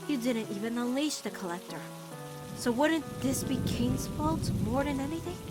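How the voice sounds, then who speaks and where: A woman voicing a caring, steadfast teenage witch girl in an animated-style comic dub, reasoning firmly and tenderly. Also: high voice, normal speed, over loud music.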